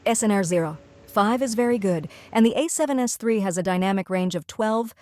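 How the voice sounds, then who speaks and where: A woman's voice: mid-range voice, fairly quick, darkish tone, dry recording, studio quality. A woman student reading out a scripted explainer presentation step by step.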